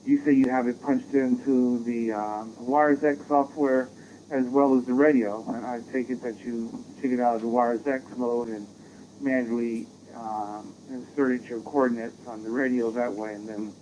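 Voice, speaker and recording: An American man chatting casually as an amateur radio operator, rambling and halting, heard through a digital radio transmission. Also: mid-range voice, dark tone. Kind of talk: casual radio contact chat. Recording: dull, noisy digital radio audio.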